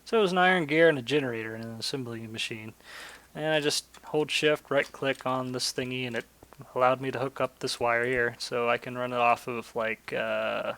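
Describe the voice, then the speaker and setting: A man's voice: medium pitch, steady tone, normal speed, vocal fry, a bit bright. A man chatting and telling stories casually as he hosts a gaming video, rambling and swearing freely. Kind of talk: casual chat and storytelling over gameplay. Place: indoors, voice-over for screen-recorded gameplay.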